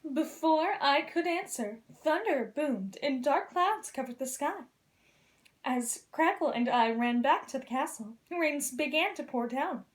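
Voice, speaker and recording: Woman, high voice, princess storyteller, gentle, theatrical, expressive children's read-aloud. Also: unhurried.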